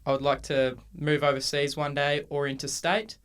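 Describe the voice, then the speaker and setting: A man in his twenties with an Australian accent, co-hosting a casual podcast and chatting in a relaxed way with frequent ums and restarts. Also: a little high, normal speed, smooth. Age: 20s. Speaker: podcast co-host. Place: university room.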